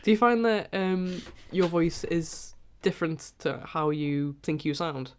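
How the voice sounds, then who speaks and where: A woman's voice: sits low, fairly even intonation, unhurried, a little mellow, a little hiss or hum. A woman guesting on a friend's podcast, bantering playfully and chatting casually while she has a cold. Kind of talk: casual chat.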